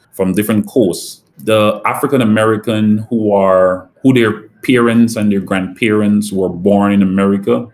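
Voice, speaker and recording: A middle-aged man from Guyana, a company president, answering interview questions in a measured way and explaining with examples. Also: on the low side, a little mellow.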